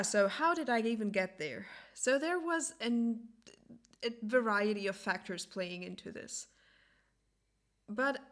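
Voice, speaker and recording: A young adult woman whose native language is German talks slowly through a personal reflection, pausing often to find the right words. Her voice is smooth and resonant, sitting at a middle pitch. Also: fairly bright tone. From Latvia. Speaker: podcast host.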